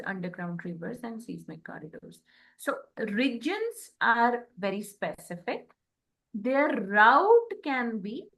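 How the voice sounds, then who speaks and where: A woman with an Indian accent giving an explanatory spiritual talk in a deliberate, halting manner, often checking in with short questions. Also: a little high, a bit bright, poor audio. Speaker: co-host.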